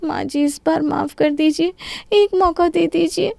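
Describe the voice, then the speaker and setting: A woman's voice: fairly even intonation, measured pace, creaky, a little mellow, no room sound, studio quality, full character voice. A woman voicing a young modern bride in a cartoon story, apologetic and pleading in a girlish, dramatic way. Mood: meek, apologetic. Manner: pleading, apologetic, high-pitched girlish delivery. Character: young modern Indian bride, meek outside, determined and dramatic. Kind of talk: Hindi cartoon story dialogue.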